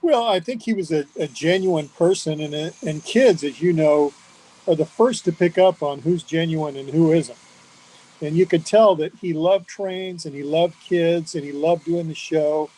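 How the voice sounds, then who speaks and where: A man's voice: on the high side, unhurried, mellow, poor audio. A man reminiscing conversationally as an interview guest about something he has long been a fan of.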